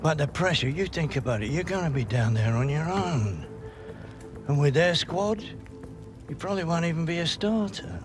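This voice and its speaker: An actor playing an older grandfather who calmly warns his grandson against a risky move, in a video game cutscene. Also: on the high side. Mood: cautious. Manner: measured, cautioning. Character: cautious older grandfather, protective and wary. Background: music.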